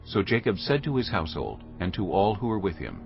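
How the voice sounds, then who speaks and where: Low-voiced, expressive male scripture narrator. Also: brisk, vocal fry. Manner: solemn, storytelling. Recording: muffled audio under music. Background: music.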